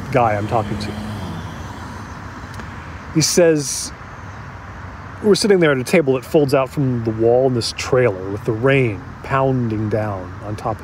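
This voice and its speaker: An actor playing an agitated man who recounts a tense encounter in a halting, emphatic, conversational audio drama monologue. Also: medium pitch, noisy background, close to an everyday voice.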